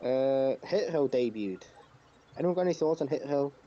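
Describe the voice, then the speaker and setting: A man's voice: medium pitch, lively intonation, moderate pace, mellow. A man co-hosting a casual wrestling review podcast, talking emphatically and swearing now and then. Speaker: podcast co-host. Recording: dull lo-fi mic, clear background noise.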